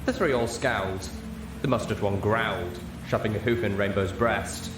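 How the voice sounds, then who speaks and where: A man reading a story aloud as narrator, in an expressive scripted style. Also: on the low side, normal speed. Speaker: audiobook narrator. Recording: roomy, echoey, clear background noise.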